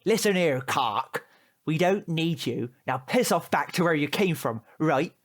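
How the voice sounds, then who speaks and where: A man's voice: on the high side, expressive, measured pace. A British man playing a cheeky, aggrieved teenage boy in a comic audio drama, indignant, slangy and wheedling. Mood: angry, swearing. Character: cheeky, aggrieved, foul-mouthed male teenage tearaway.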